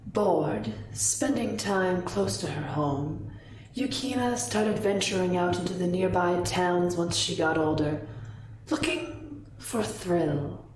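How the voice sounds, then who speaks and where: Woman as a slow, echoing sly fox-woman storyteller. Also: fairly low pitch, animated, pitched below the performer's own voice. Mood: sly storytelling. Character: sly, sultry female kitsune fox wizard storyteller. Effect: voice filter with echo. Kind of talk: scripted backstory narration. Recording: echoey, distant, faintly noisy.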